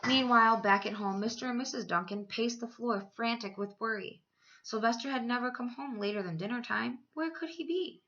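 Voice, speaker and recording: A woman teacher reading a children's storybook aloud with expressive, emphatic phrasing. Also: on the high side, brisk, lo-fi sound.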